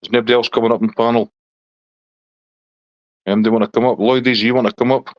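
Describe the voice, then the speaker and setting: A man's voice: medium pitch, little pitch movement, fast talker, no echo, highs cut off. A middle-aged man from Scotland with a Scottish accent, chatting casually on a live stream and answering viewers' comments.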